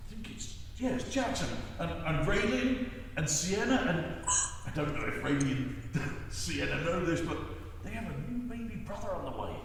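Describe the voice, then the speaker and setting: A man playing a jolly, doting old Santa Claus for children, chatting in a hesitant, grandfatherly way with an excitable voice. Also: fairly high pitch, normal speed, distant mic. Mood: musing, chatty. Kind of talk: children's story-time performance. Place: indoors on a video call. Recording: echoey roomy video call, faint noise.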